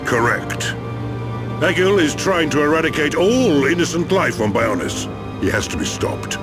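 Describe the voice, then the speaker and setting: A man playing a very old, grave machine-being elder in a game cutscene, with a measured, theatrical delivery. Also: fairly high pitch, sing-song. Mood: sorrowful, grave. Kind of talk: dramatic video game cutscene acting. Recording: voice under clear background music.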